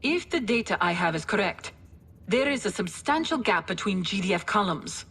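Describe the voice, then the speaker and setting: A polite female staff officer delivers a formal mission briefing in a video game cutscene. Her voice is mid-pitched with a slight gravel to it. The tone stays courteous throughout. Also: big pitch swings, moderate pace, fairly bright tone, a little hiss or hum.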